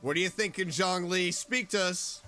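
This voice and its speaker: A man's voice: high-pitched, normal speed. A man streaming a video game, reacting casually and thinking aloud as he plays. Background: game music.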